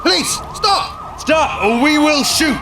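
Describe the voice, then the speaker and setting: A commanding police officer in pursuit played by a man shouts in a very high, swooping voice in a radio drama, with sirens behind. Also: animated, slow, noisy background, exaggerated voice. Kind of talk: radio play dialogue.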